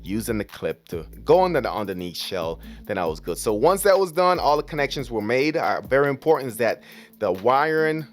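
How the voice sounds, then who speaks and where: A man's voice: medium pitch, sing-song, a little fast, faint music behind. A man giving a casual, informal voice-over as a vlogger, walking step by step through a product unboxing and setup.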